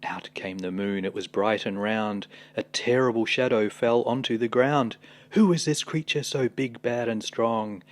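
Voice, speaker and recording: A man reading a children's picture book aloud as a storyteller, dramatic and expressive. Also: mid-range voice, a little slow.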